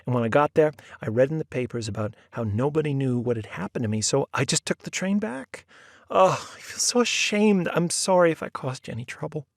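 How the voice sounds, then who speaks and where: A man's voice: on the high side, lively intonation, fairly quick, creaky, no room sound, clean studio sound, pitched above the performer's own voice. A man voicing a flustered, apologetic woman of thirty who gushes breathlessly, in a story reading. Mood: ashamed. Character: flustered 30-year-old woman, ashamed.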